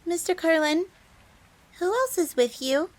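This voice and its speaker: A woman's voice: extremely high pitch, animated, unhurried. A woman playing a perky, devoted young fox maid in an audio roleplay, with a cutesy, eager manner. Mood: cheerful, eager. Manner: cutesy, eager, refers to herself in third person. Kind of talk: scripted audio roleplay acting.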